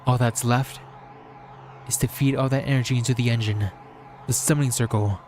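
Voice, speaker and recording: A male streamer reads fantasy fiction aloud in a solemn, measured way. His pitch sits in the middle for a man, and he delivers short phrases as dramatic narration. Faint music plays behind him. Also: steady tone, a little mellow. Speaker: streamer reading a visual novel aloud. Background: background music.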